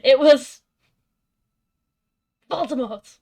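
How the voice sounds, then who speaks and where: Woman, high-pitched, slow-paced, casual and giggly, reading and reacting among friends. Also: creaky, a little mellow, faint music behind. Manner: amused, giggly.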